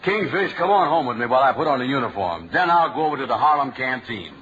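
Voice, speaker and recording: A man's voice: medium pitch, big pitch swings, over loud music, close to an everyday voice. An actor playing a lonely, broke man who pines after girls, in 1940s radio comedy style. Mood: scheming. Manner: slow, mopey, easily tempted. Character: lonely, girl-crazy, broke middle-aged man. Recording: muffled old radio broadcast with hiss.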